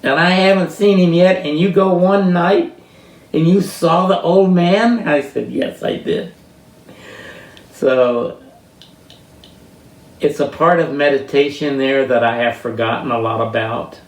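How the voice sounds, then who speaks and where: An elderly American man tells personal stories at a slow, halting pace, pausing to find his words. He is picked up from a distance in an echoey room, with faint noise behind him. His delivery is reflective. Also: on the high side. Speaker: interviewee sharing life stories. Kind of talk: reminiscing personal storytelling.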